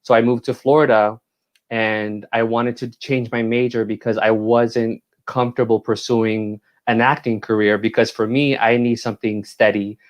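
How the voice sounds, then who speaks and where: A man's voice: mid-range voice, little pitch movement, highs cut off. A young man from New York, born in Puerto Rico, chatting playfully and campily as an interview guest on an earpiece mic. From born in Puerto Rico, raised in New York. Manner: playful and campy, quick to laugh. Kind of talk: casual interview chat.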